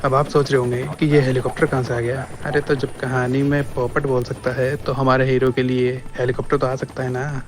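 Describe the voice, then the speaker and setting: A man's voice: medium pitch, level tone, brisk, hardly any pauses. A man giving a casual, chatty, joking aside to the audience during a story, over helicopter sound effects. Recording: loud helicopter noise behind voice.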